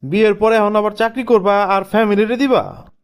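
Cartoon casting: man as tight-fisted bossy husband, high male voice, sarcastic and dismissive. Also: fairly even intonation, normal speed, clean tone. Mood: scornful, domineering. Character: stingy, scornful, domineering husband. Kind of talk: cartoon family drama dialogue.

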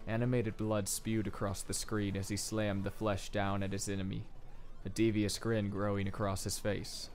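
A man's voice: lowish voice, flat delivery, normal speed. A man reading scripted first-person story narration as an audiobook narrator.

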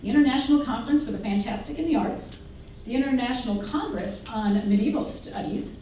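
A woman moderator introduces the next speaker with a light, joking touch. Her tone is soft and mellow. She is heard from across an echoey classroom. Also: fairly high pitch, brisk, far from the mic, a little hiss or hum. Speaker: panel moderator. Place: university classroom.

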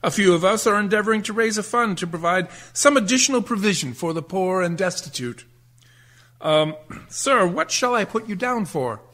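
Guy playing a polite earnest charity gent in a radio play, high bright voice, very up and down. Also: expressive, normal speed. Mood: courteous, hopeful. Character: earnest, genteel gentleman collecting for charity.

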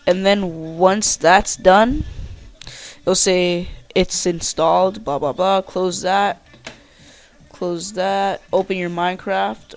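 Gaming tutorial host, mid-pitched voice, slow pace, informal, rambling, casual instructions. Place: indoors at a computer.

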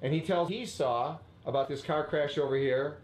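A man's voice: on the high side, normal speed. A man leading a casual lesson, asking prompting questions and tagging lines with "right?". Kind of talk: casual instructive talk. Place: basement room. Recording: echoey basement room, faint ambience.

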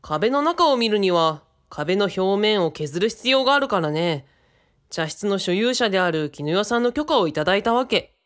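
An earnest young man in a radio drama, played by a woman. He is courteous and a little cheeky, speaking in a mid-range feminine pitch with lively swings. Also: normal speed, natural voice. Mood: thoughtful. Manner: courteous, matter-of-fact.

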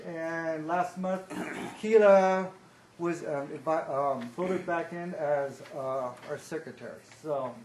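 A man giving a loud, upbeat committee report at a board meeting, with many fillers and pauses. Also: high-pitched. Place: large conference room. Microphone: table microphone. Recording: echoey room, some background noise.

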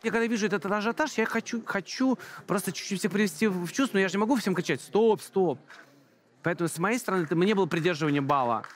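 A man on a singing show's jury, giving earnest, measured feedback. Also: high voice. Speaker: jury member. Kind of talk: judge's feedback.

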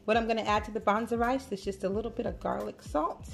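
A woman's voice: fairly high pitch, fast, vocal fry, soft background music. A woman in her thirties hosting a casual home cooking video, chatty and upbeat, talking straight to viewers in her kitchen. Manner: upbeat, chatty, talks to viewers as "you guys". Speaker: cooking vlogger, host. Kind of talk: casual cooking demo chat.

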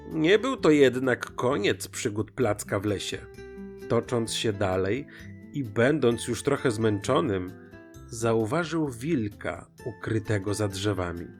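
A man narrating a scripted children's fairy tale in an expressive, dramatic way. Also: on the low side, slow pace. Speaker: storyteller. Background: music.

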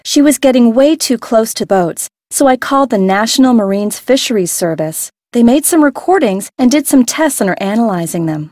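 An actress playing a frank, stubborn adult boat owner in a video game, talking in a matter-of-fact way. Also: female voice, fairly high pitch, darkish tone, no echo, clean studio sound, lightly acted. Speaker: game voice actor.

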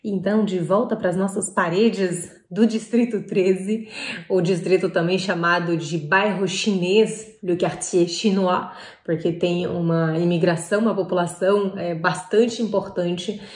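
A woman's voice: on the low side, fairly even intonation, unhurried. Woman vlogger in an echoey room. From Paris, France. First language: French. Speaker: vlog host. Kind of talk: casual talk to camera. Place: small bright room indoors.

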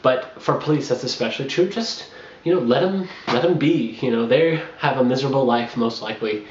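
A man's voice: medium pitch, brisk, echoey room, a little hiss or hum. A young man in his twenties chats advice to camera, full of restarts and filler words. His mid-range voice bounces around a small bare room. The camera audio is dull and lo-fi. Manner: rambling, frequent fillers and restarts, laughs between points. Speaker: travel blogger. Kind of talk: casual advice vlog. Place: small bedroom with bare wall. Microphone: camera on a makeshift tripod.

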